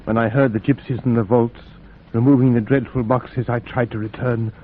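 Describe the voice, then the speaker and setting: An actor playing a desperate, frightened young captive, intense and dramatic, in 1940s radio drama style. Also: male voice, mid-range voice, fairly even intonation, moderate pace, faint music behind, close to an everyday voice. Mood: horrified. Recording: dull 1940s radio recording.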